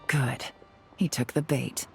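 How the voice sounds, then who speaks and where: A woman's voice: rumbling low, fairly even intonation, slow, creaky, darkish tone, faint music behind, heavily acted. A woman playing a cool, calculating strategist in a video game, composed and firm in her delivery.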